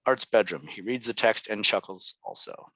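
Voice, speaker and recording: A man narrates stage directions from a script. His voice is on the low side. The sound is dry, with little room in it. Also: moderate pace, a bit bright, no echo, poor audio.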